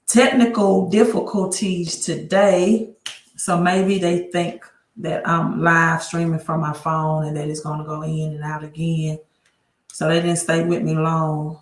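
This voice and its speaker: A woman's voice: low voice, level tone, unhurried, reverberant. A woman hosting a casual credit livestream from her laptop, chatty and often restarting her sentences. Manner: chatty, restarts often, talks while fixing tech. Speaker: credit educator and livestream host. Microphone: laptop mic.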